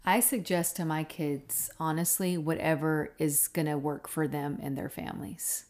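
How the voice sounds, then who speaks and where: A middle-aged woman co-hosts a casual podcast in a low voice. She is agreeable and affirming, answering with short supportive remarks. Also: steady tone, moderate pace, a little mellow. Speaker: podcast co-host.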